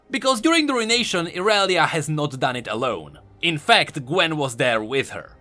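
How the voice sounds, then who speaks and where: A man's voice: fairly high pitch, bright, forceful tone. A man giving emphatic, explanatory scripted commentary on video game lore as an online host. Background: background music.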